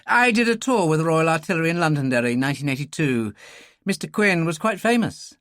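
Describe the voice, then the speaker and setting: A man's voice: a little high, lively intonation, fast talker, fairly bright tone, dry recording, clean studio sound, voice pushed up. A man voicing a genteel English arms dealer in a thriller audiobook, with an English accent and polite, plummy, slightly nervous speech. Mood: hurried, nervous. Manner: clipped, polite, plummy old-chap speech, nervously eager.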